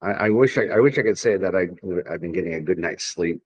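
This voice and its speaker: Deep-voiced rambling man. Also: fast, slightly rough. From Tennessee, USA. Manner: rambling, hesitant, with many restarts and fillers. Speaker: guest. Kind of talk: casual podcast chat.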